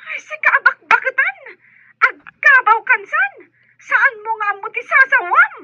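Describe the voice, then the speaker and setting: A woman voicing an irritable, scornful caller with a shrill, squeaky voice in an audio drama. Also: expressive, measured pace, bright, forceful tone, muffled recording, slight background noise, exaggerated voice. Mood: irritated, scornful. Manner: scornful, scolding. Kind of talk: Ilocano audio drama acting.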